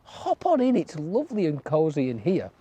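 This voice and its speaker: A storyteller voicing a small, cold mouse in a children's folk tale, with a high, welcoming voice. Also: huge pitch swings, moderate pace, mellow, breathy edge.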